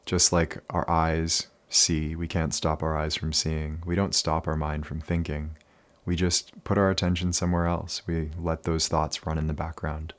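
A man leading a guided meditation in a calm, soothing, unhurried manner with many pauses. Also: rumbling low.

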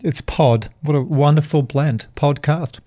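A man's voice: a little high, measured pace, warm tone. A man hosting a podcast, interviewing in an enthusiastic, chatty, conversational way. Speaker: podcast host.

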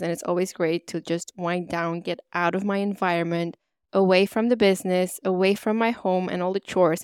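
A woman's voice: lowish voice, a little mellow, clear, resonant tone, no room sound, clean studio sound. A woman hosting her own podcast in an upbeat, motivational, chatty way, with a stuffy, slightly nasal voice from a cold. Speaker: podcast host. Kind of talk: solo podcast monologue.

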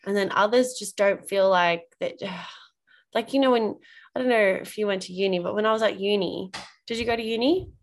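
A woman's voice: medium pitch, brisk. A woman hosting a podcast interview, chatting casually and responding with enthusiastic affirmations. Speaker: podcast host.

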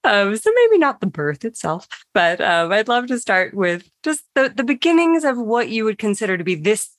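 A woman's voice: medium pitch, sing-song, normal speed, no room sound, studio quality. A woman hosting a podcast, introducing and interviewing her guest in an effusive, affirming way. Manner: effusive, affirming, many fillers. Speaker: podcast host.

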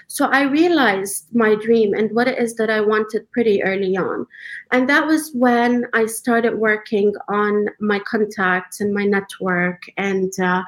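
Conversational woman designer, measured. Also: mid-range voice. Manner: conversational, many fillers, measured pace. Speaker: guest, designer. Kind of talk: casual interview answers.

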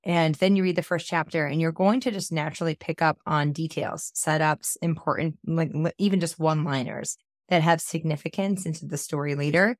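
Low-voiced woman podcast host, scratchy. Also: fairly even intonation, brisk, dry recording, studio quality. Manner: enthusiastic, upbeat. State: scratchy voice from a cold. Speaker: podcast host, book coach. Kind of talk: podcast intro and casual chat.

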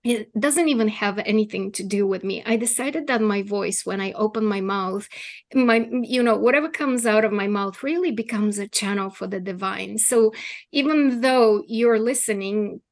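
A woman's voice: medium pitch, moderate pace. Woman, middle-aged, podcast guest, earnest, reflective, conversational, "right?" tags. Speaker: podcast guest, former attorney turned healer. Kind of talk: casual interview conversation.

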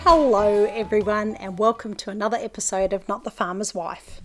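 Gravelly-voiced Australian woman podcaster. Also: mid-range voice, fairly even intonation, normal speed, soft background music. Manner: chatty and rambling, with many fillers and self-corrections. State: hoarse, gravelly from a chest infection. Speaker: podcast host and farmer. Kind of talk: casual solo podcast chat.

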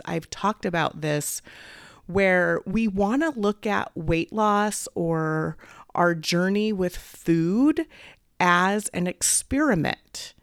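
A woman hosting a podcast as a weight coach, talking casually while fighting a head cold, a little raspy and clearing her throat. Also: mid-range voice, no echo, studio quality. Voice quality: slightly raspy. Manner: upbeat, encouraging, faith-based. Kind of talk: casual solo podcast talk.

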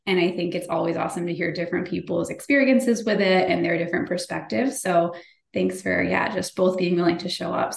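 Gravelly-voiced woman hosting a podcast. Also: fairly low pitch. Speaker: podcast host. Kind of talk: casual podcast chat.

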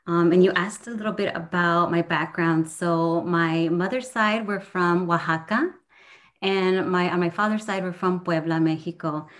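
A woman's voice: lowish voice, normal speed. A woman from Southern California, a professor and guest, talking casually and earnestly with frequent ums. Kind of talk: casual conversation.

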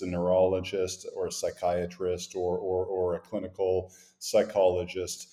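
Deep-voiced canadian guy, middle-aged, talking through his career calmly, roomy echo. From grew up in Montreal, born in Boston. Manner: measured, articulate storyteller with occasional fillers. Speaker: guest, company founder and CEO. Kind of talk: interview conversation. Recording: echoey room.

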